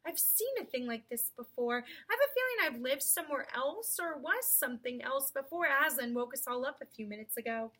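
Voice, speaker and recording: A woman's voice: extremely high pitch, animated, bright, forceful tone, pitched above the performer's own voice, heavily acted. Woman voicing a musing, muddled talking horse. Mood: puzzled. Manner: slow, musing, halting. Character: slow-witted, muddled, earnest talking male cart horse.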